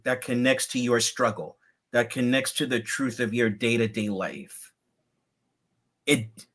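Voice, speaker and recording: Fifties guy on a video call, takes his time, measured and thoughtful. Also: mid-range voice, fairly bright tone. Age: 50s. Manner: measured, slow and deliberate, building points step by step. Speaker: guest. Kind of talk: reflective livecast conversation.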